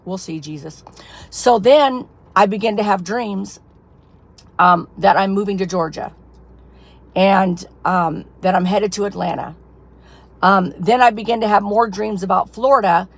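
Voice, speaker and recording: A woman's voice: mid-range voice, measured pace, gravelly, long pauses. A woman who is losing her voice, telling a rambling personal testimony to her followers, full of fillers. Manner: rambling, filler-heavy storytelling. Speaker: ministry leader. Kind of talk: casual personal testimony to camera.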